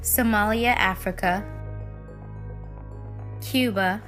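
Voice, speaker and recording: Woman reading documentary narration, measured and careful, faint music behind. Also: medium pitch, a bit bright. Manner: measured, read-aloud delivery.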